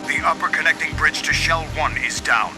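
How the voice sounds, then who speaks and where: An actor playing a cool, shrewd male villain leading a plot in a video game, speaking in measured, authoritative, terse lines. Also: a little high, over music, natural voice. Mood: businesslike. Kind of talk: dramatic video game cutscene acting.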